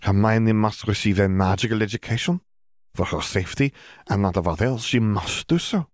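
A man's voice: fairly low pitch, moderate pace, no echo, clean studio sound, lightly acted. A man voicing a proud, insistent witch official, a formal and emphatic woman, in a story reading.

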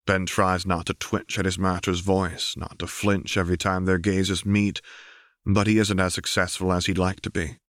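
Male audiobook narrator, low register, dry studio-tight sound, scripted fiction. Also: level tone, normal speed, fairly bright tone, no echo. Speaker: audiobook reader.

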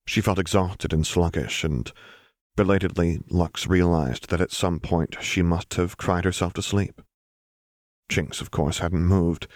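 A man's voice: deep voice, fairly even intonation, measured pace, a little mellow, dry recording, studio quality. A man reading a scripted story as an audiobook narrator.

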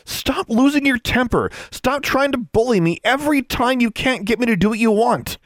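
A man's voice: lowish voice, animated, brisk, no room sound, clean studio sound. A man voicing a bold, stubborn thirteen-year-old witch in an audiobook story, defiant and insistent.

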